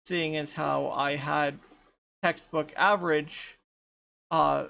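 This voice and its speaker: A woman in her thirties in casual group chat, light voice without chest resonance, talking haltingly with many restarts. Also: low voice, fairly even intonation, vocal fry, fairly bright tone. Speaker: podcast panelist.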